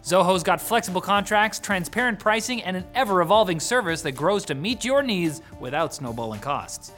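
Guy cohost reading a sponsor ad, upbeat n jokey, super animated, bit of music under. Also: high-pitched, normal speed. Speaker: co-host. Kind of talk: scripted sponsor read.

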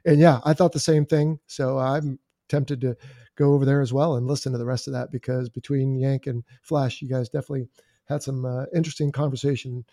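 A man hosting a podcast, chatty and lively as he sets up the next segment. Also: medium pitch, a little fast, no echo, clean studio sound. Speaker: podcast host. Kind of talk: show intro chat.

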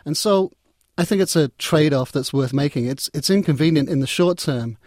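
A man's voice: a little high, normal speed, darkish tone, no echo, clean studio sound. A man talking as an interview guest in a conversational, discursive way, often repeating and reworking his words. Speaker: interview guest, technology writer and editor.

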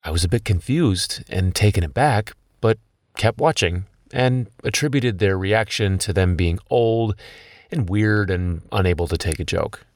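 A man's voice: fairly low pitch, a little slow, no echo, clean studio sound. A man in his thirties, a podcast host telling a personal story in scripted documentary narration.

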